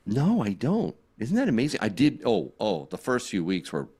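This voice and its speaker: A man's voice: on the low side, normal speed, slightly rough. A man with a Boston accent, co-hosting a live stream in casual chat, laughing often. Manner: laughs often, lively and chatty. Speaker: co-host.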